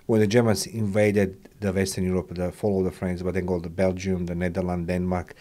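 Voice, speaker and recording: Low-voiced Croatian man interviewing. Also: level tone, warm tone. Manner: curious, halting non-native English phrasing. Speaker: interviewer, podcast host. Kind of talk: casual conversational interviewing.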